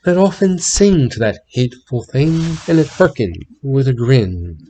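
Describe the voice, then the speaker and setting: A man's voice: on the high side, warm tone. A man giving a theatrical dramatic reading of a narrative poem, swelling and dropping in intensity as he tells it. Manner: theatrical storytelling with big swells and drops in intensity. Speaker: reader narrating a ballad.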